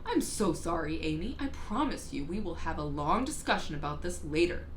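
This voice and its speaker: A woman's voice: sits low, expressive, moderate pace. A woman voicing a flustered storybook father, exasperated, scolding and apologetic. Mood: embarrassed, apologetic. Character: flustered, scolding, embarrassed father of a young girl. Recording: roomy echo with faint background noise.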